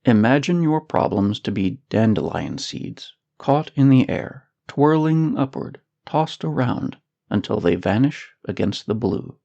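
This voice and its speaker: A man guides a meditation at a very slow, measured pace. His mid-pitched voice has a strong creaky edge, and his delivery is scripted and soothing. The recording sounds slightly dull. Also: animated, dark tone.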